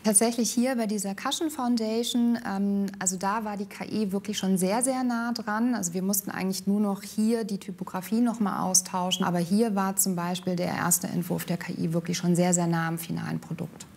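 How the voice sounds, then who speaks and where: A woman's voice: mid-range voice, steady tone, a little fast, hardly any pauses, darkish tone. Woman, middle-register voice, expert interviewee, explaining informally. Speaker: company AI expert.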